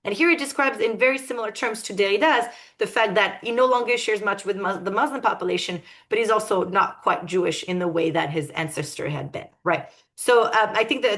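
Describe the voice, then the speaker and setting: A woman giving an academic lecture, thoughtful and often pausing to search for her words. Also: mid-range voice, a bit bright.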